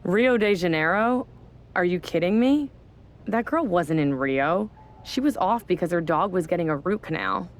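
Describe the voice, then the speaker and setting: A woman's voice: on the low side, animated, moderate pace, lightly acted. An actress playing a sarcastic, no-nonsense woman general manager in a wrestling video game, dry and authoritative. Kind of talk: video game cutscene acting.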